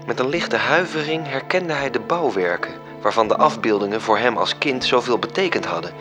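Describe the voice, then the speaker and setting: A man's voice: mid-range voice, expressive, a little fast, lightly acted. A man playing a composed, solemn storyteller in a literary radio drama, narrating. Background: music.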